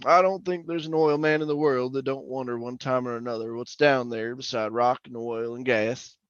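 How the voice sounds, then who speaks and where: An actor playing a garrulous middle-aged former oil-rig roughneck, telling his tale in a rambling, folksy radio drama manner. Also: medium pitch, dry recording, dull audio, natural voice. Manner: rambling, folksy, colloquial storytelling. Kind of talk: old-time radio drama monologue and dialogue.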